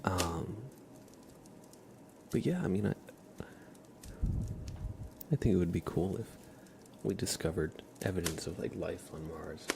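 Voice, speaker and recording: A soft-spoken man hosting an ASMR livestream chat, hesitant and often slipping into whispers. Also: deep voice, unhurried, vocal fry, pauses often, background noise. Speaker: livestream host.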